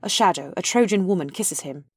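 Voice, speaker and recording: A woman's voice: lowish voice, animated, brisk, breathy edge, dry recording, studio quality. A woman reading the stage directions of a play as scripted narration.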